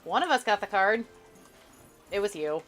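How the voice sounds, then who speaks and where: A woman's voice: medium pitch, animated, moderate pace, fairly bright tone, soft background music. A woman streaming a co-op game live, bantering with her co-player in a dry, sarcastic way. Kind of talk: casual gameplay chat with a co-player.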